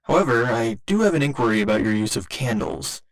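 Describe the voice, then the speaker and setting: Man voicing a gentle, formal android boyfriend. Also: mid-range voice, lively intonation, brisk, lightly acted. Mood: curious, polite. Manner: formal, precise, careful wording with occasional glitchy stutters. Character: gentle, polite android boyfriend, earnest and naively literal. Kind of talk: ASMR roleplay.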